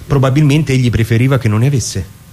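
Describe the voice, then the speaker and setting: A man performing a dramatic scripted narration in a theatrical, musing manner, with deliberate pauses. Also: medium pitch, mellow. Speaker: performer reading a literary narration. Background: plucked guitar music.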